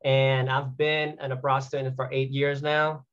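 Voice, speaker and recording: A man's voice: mid-range voice, flat delivery, a little slow, fairly bright tone, dull audio. A young man from Vietnam, a graduate student guest, talking earnestly and at length with many fillers over a video call. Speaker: guest, athletic training student. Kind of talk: casual remote interview.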